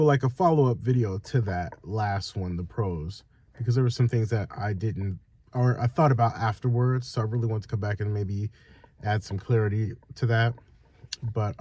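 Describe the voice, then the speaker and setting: A man with a low, mellow voice talks loosely to his viewers and wanders through his thoughts. His nose is stuffed up from a cold, which gives his voice a nasal tone. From Northern California. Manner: loose, rambling, many fillers and restarts. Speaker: vlogger. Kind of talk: casual direct-to-camera chat.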